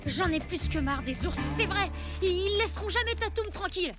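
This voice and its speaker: A woman's voice: extremely high pitch, expressive, a little fast, over loud music. A woman voicing a brave, caring young boy in a French cartoon dub, speaking earnestly and expressively. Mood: fed up.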